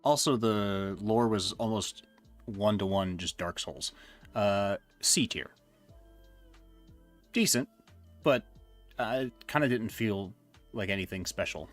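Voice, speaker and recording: A man chatting casually on a live stream, rambling and hesitant with many fillers, his voice going hoarse. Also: lowish voice, slow pace, pauses often, faint music behind. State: losing his voice. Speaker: streamer ranking games he reviewed.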